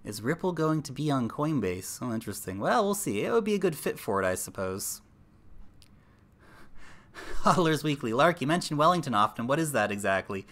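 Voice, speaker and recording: Bright-voiced man chatting casually with viewers. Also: medium pitch, very animated, normal speed. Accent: American. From New Zealand. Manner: chatty, addresses viewers as guys, thinks aloud with fillers. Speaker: livestream host. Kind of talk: casual livestream chat reading viewer comments.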